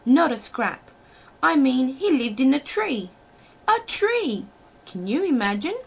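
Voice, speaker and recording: A woman reading a children's story expressively, addressing the young listener with playful questions in a husky voice. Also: high-pitched, sing-song, slow pace. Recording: dull lo-fi home recording.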